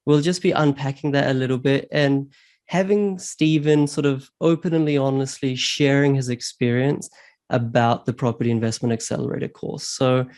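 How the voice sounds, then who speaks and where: A man's voice: medium pitch, fairly even intonation, normal speed, darkish tone. A man hosting a casual interview, speaking in an upbeat, conversational way. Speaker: interview host, property investment coach.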